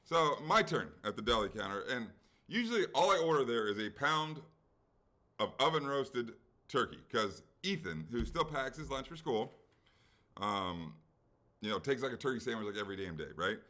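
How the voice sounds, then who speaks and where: This is a middle-aged man chatting on a podcast, winding through a long anecdote. His voice has a bright, tense edge, and he jumps into the voices of the people he quotes. He swears freely and keeps checking in with "right?". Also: medium pitch, sing-song, moderate pace. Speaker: podcast co-host. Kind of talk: casual chat, long anecdote.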